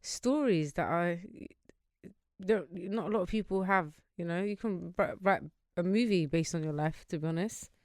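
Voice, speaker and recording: A woman's voice: fairly low pitch, normal speed, a little mellow, dry recording, studio quality. A young woman hosting a podcast, chatty and encouraging, using slang as she interviews her guest. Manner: chatty and encouraging, uses slang like "wicked" and "sick". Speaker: podcast host. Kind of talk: casual interview chat.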